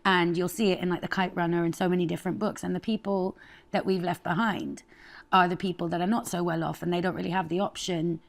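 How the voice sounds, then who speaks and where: Low-voiced woman from Afghanistan. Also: fast talker, creaky. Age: 30s. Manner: candid and expressive, laughs often. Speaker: guest chef. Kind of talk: conversational life story.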